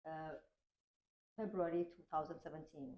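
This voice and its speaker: Low-voiced woman guest from Yemen. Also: fairly even intonation, dull audio. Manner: halting, searching for words with many uh's. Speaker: podcast guest. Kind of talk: casual panel discussion.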